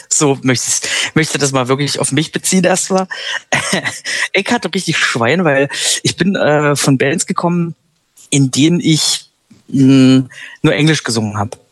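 A man's voice: a little high, measured pace, dry recording. A man who sings and writes the lyrics for a band, answering interview questions in a chatty way with light laughs. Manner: chatty, laughs between sentences.

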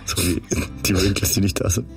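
A man's voice: low-pitched, fairly even intonation, a little fast, creaky, faint music behind. A man hosting a morning radio show in casual, playful chat, teasing and dropping English words.

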